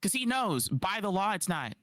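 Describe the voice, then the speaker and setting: A man's voice: high voice, no room sound. Guy ranting on his stream, voice jumps all over, dead dry close mic. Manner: animated, incredulous, rapid rebuttals. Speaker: livestream reaction commentator. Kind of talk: casual reaction commentary.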